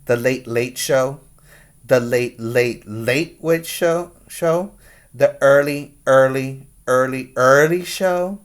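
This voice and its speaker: A man reading a picture book aloud to young children as their teacher, with expressive, deliberate emphasis. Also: mid-range voice. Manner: slow, deliberate, with dramatic emphasis.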